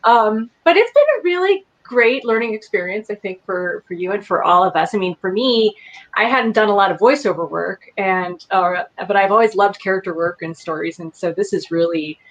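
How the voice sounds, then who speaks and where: A woman's voice: mid-range voice, lively intonation, normal speed. A woman talking casually as a guest, in a conversational and unscripted way. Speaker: voice cast guest. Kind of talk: casual chat. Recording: slightly dull, lo-fi connection.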